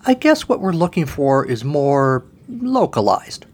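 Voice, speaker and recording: A man voicing an eager, gushing female shopper in a comic audio story, slow and easily impressed. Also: on the high side, sing-song, a little mellow, voice pushed up. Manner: gushing, hesitant.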